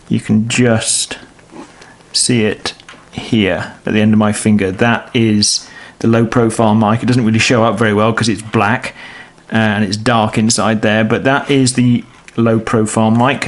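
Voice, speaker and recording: A British man presenting an informal step-by-step how-to demonstration in a matter-of-fact, explanatory way. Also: fairly low pitch. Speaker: presenter of a how-to product installation video.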